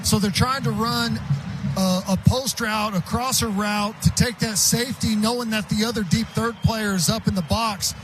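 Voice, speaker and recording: High-voiced American football color commentator. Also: male voice, steady tone, moderate pace, music in the background, rough recording. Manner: casual, enthusiastic, chatty breakdowns. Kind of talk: football analysis. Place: stadium radio booth.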